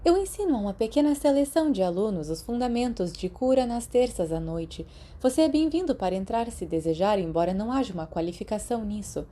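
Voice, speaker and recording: A Brazilian woman narrator voicing a kindly, brisk, motherly school nurse in an audiobook, speaking gently and with measure. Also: mid-range voice, expressive, voice pushed up, natural voice. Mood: kindly, matter-of-fact. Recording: clearly noisy background.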